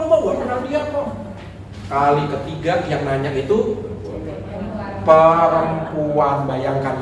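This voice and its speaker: A man, a priest, giving a lively sermon talk, acting out quoted voices and joking with the audience. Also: fairly high pitch, far from the mic, a little hiss or hum. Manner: animated, acts out quoted voices, jokes with audience. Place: church hall. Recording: echoey hall recorded from afar.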